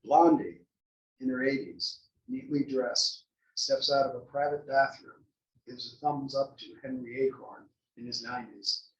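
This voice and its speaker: A man's voice: medium pitch, fairly even intonation, a little slow, muffled recording. A man reading scripted stage directions as the narrator at a play table read. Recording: echoey room, distant mic.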